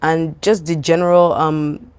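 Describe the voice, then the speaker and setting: Casting: young woman from Nigeria, low voice, easygoing and chatty, loose interview guest. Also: unhurried, clear, resonant tone, dry recording. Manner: relaxed, chatty, many fillers. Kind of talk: casual interview.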